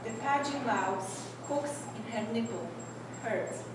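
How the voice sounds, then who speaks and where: A woman with a very soft voice, reading her poems slowly and hesitating between her remarks, recorded without a microphone. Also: on the high side, animated. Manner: hesitant, halting between asides. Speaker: poet reading her work. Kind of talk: poetry reading with asides. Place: event venue, indoors. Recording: echoey room from a distance, loud background noise.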